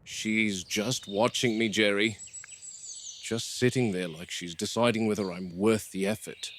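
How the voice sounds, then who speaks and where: A man playing a grave, reflective middle-aged game warden, speaking a measured, precise field log in an analog horror drama. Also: lowish voice, fairly even intonation, fairly bright tone, a little hiss or hum. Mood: wary, musing. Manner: measured, solemn, precise phrasing. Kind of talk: scripted monologue as a spoken field log.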